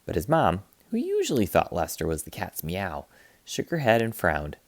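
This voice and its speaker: Male read-aloud narrator for children's books: playful, lively swings in pitch, close dry sound. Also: moderate pace, no echo. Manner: expressive, playful, acts out sound effects. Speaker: teacher reading aloud to students. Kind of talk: animated children's picture book read-aloud.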